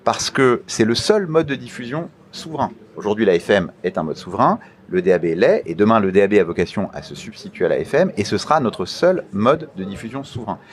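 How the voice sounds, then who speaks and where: French guy official in an interview, kinda low voice, formal, careful, repeats words as he explains. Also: sing-song. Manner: formal, deliberate, hesitant phrasing with repeated words. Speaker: media regulator official being interviewed. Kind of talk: radio interview, explanatory institutional talk.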